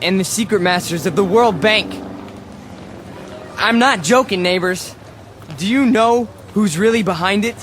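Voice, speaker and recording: A man acting in a radio drama as a nervous, fanatical would-be demagogue with a halting, insistent delivery. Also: sits high, a bit bright. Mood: nervous, faltering. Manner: stammering, halting, then ranting.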